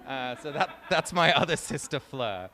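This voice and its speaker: A man with an English accent giving a personal talk on stage, relaxed and storytelling, with frequent ums. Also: mid-range voice, moderate pace. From England. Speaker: guest speaker. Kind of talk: public talk. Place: large venue on stage. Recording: reverberant hall.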